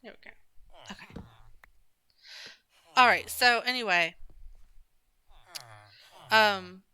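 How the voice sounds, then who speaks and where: A woman's voice: medium pitch, slow, sharp tone, smooth. A young woman chatting casually and rambling while she plays a game on stream, with many restarts and fillers. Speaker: gaming streamer.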